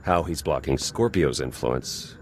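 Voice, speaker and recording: A man's voice: a bass voice, lively intonation, unhurried, slight background noise, exaggerated voice. A man playing a grave military officer in a video game, matter-of-fact and serious.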